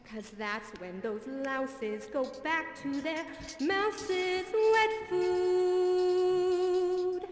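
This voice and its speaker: A high-voiced, glamorous diva cat crooning and gushing endearments, as a woman puts her on, unhurried and theatrical. She sounds from a roomy hall with a long echo, music playing behind her. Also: heavily acted. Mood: sultry singing. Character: glamorous diva female cat cabaret singer. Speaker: variety show performer. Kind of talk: cabaret song and stage patter.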